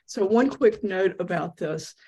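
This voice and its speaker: A woman's voice: mid-range voice, expressive, a little slow, a little mellow, slightly rough. A woman speaking as a guest, casually telling a personal anecdote.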